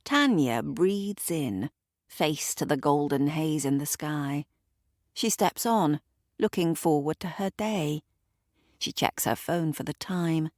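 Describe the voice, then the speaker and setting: Low-voiced, unhurried woman telling a story. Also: animated, darkish tone, dry recording, studio quality. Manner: conversational asides to the listener, acting out characters. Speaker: narrator of an audio drama. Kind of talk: dramatic present-tense storytelling.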